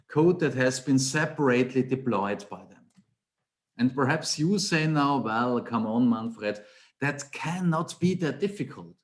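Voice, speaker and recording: A middle-aged man from Austria with an Austrian German accent, giving a technical conference talk over a webcam call in a small home office, explaining with rhetorical questions and emphatic repetition. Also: on the high side. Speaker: conference speaker, Angular trainer.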